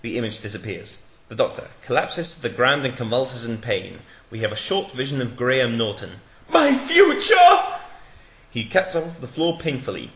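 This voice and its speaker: A man gives a theatrical, mock-dramatic reading of a fan script. His voice is bright and forceful, with animated pitch, recorded in an echoey room. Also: fairly quick. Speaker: narrator, dramatic reader.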